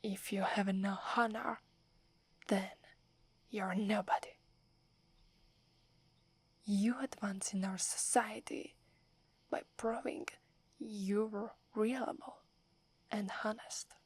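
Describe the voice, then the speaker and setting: A woman's voice: medium pitch, fairly even intonation, takes it slowly, pauses often, darkish tone, breathy edge, no room sound. A woman from Italy with an Italian accent, whispering as a proud, wary young female werewolf who is prickly and defensive. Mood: haughty, wary. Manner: haughty, grudging, defensive. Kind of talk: ASMR roleplay.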